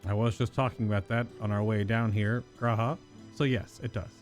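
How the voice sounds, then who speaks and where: A man's voice: on the low side, steady tone, fairly quick. A man live-streaming a game, giving informal, playful and casual commentary as he plays. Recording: stream voice over game music.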